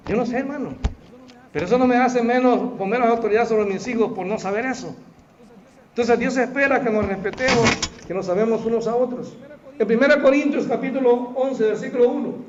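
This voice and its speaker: A middle-aged Salvadoran pastor with a Salvadoran Spanish accent preaches to his church in a high, light voice. He keeps it conversational and talks straight to the congregation. The recording sounds dull and lo-fi. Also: darkish tone. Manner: conversational, addresses the congregation as "hermano". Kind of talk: sermon. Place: church hall.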